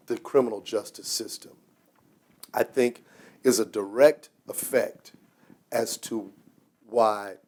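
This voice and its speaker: A man giving deliberate interview commentary, setting out his point phrase by phrase. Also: medium pitch, a little mellow, breathy edge. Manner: phrase-by-phrase delivery. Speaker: documentary interviewee.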